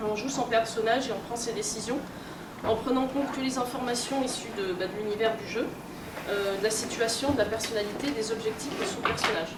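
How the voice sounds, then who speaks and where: A woman giving an informal conference talk in a conversational, hesitant way, with many fillers. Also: a little high. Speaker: lecturer, games researcher and editor. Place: indoor convention talk room. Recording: roomy, noisy convention room, distant mic.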